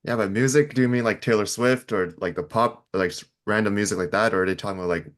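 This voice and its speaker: A man hosting a podcast, asking questions casually in a low-key, laid-back manner. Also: quick pace, fairly bright tone. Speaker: podcast host. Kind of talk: casual interview chat.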